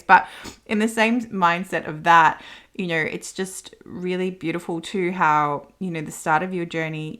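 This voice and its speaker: Woman, low-pitched voice, podcast host, conversational, effusive, affirming. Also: fairly bright tone. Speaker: podcast host interviewing a guest. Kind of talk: conversational interview.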